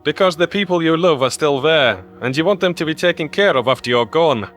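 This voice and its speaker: A scruffy human theorist in a sci-fi audio story played by a man, speaking earnestly. His voice sits fairly high, over faint background music. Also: lively intonation, a little fast, fairly bright tone.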